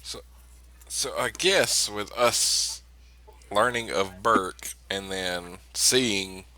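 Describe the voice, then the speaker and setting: A man's voice: lowish voice, extremely slow, pushed and bright, no room sound. A man playing a tabletop role-playing game, chatting in an easygoing way. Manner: laughs often, easygoing. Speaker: player. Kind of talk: casual game chat.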